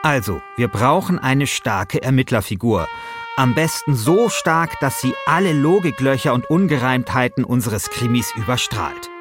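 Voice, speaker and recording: A man's voice: medium pitch, lively intonation, normal speed, over music. A man hosting a podcast, reading scripted narration in a wry, tongue-in-cheek manner.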